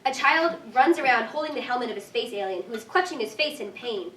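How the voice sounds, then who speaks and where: A woman's voice: fairly high pitch, expressive, a little fast, pushed and bright. A woman narrating a script's stage directions at a staged reading. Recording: echoey room, distant mic, faint noise.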